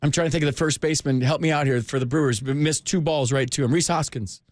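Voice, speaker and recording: The joking American man with a lightish, higher voice you'd hear bantering with callers from a snug, dead-sounding sports radio booth. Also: fast, no echo, studio quality. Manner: informal, joking, slangy. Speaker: sports radio call-in host. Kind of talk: casual chat with callers. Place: radio studio. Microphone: close studio microphone.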